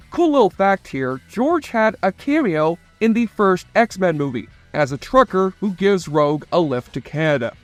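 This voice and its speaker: Guy narrating a video essay, fairly high voice, scripted, soft music under it. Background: faint music.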